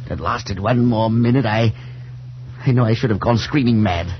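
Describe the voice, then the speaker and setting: An actor playing a terrified older professor losing his grip, speaking in halting, breathless phrases in a 1940s radio drama. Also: lowish voice, lightly acted. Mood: frantic, disgusted. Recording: muffled, noisy 1940s radio recording with hum.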